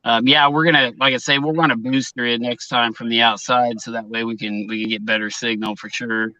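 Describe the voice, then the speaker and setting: A man's voice: medium pitch, little pitch movement, a little fast, pushed and bright, no echo, low-quality recording. A man hosting a live streamed talk show in casual conversation.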